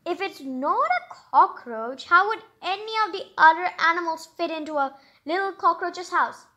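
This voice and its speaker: Female read-aloud host, very high and lively, informal storybook reading with chatty commentary. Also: normal speed.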